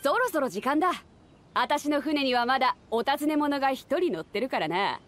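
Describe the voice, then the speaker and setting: Swaggering anime game lady captain, rough talker, highish voice, swoops around a lot. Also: animated, normal speed, a little hiss or hum. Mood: confident, commanding. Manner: rough, masculine, commanding speech. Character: bold, swaggering woman ship captain, hearty and protective. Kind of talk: anime video game voice acting.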